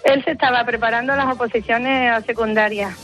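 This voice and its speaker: A woman's voice: a little high, fairly even intonation, a little fast, fairly bright tone. A woman with a Spanish accent calls a radio show and cheerfully tells a personal anecdote. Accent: Spanish from Spain. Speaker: radio caller. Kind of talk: phone call on a radio show.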